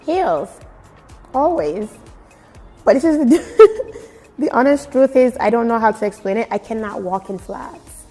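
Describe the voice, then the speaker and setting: A woman from Nigeria with a Nigerian accent mixed with British and American, giving expressive, emphatic answers in a casual interview. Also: fairly high pitch, unhurried, long pauses. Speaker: fashion blogger being interviewed. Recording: very echoey room with music. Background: music.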